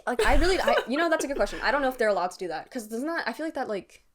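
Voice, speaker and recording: A woman's voice: on the high side, animated, racing. A young woman co-hosting a podcast in casual chat, informal and hedging as she thinks aloud. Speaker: podcast co-host.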